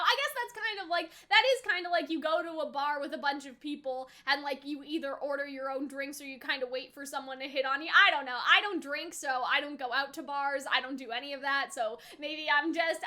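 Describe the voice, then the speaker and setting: A woman's voice: sits high, sharp tone. A woman giving casual reaction commentary in a chatty, rambling way, peppering her sentences with "like". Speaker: anime reaction host.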